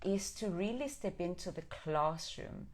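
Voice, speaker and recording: Woman, middle-aged, from Denmark, low voice, earnest and affirming, reflective inspirational live talk. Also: expressive, unhurried. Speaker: live stream host. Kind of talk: reflective inspirational talk, reading and answering viewer comments.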